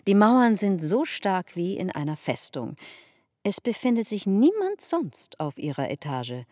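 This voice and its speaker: An actress playing an imperious society hostess in a literary radio drama, with a theatrical, swooping delivery. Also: female voice, medium pitch, big pitch swings, measured pace, mellow, no room sound, studio quality. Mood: confiding.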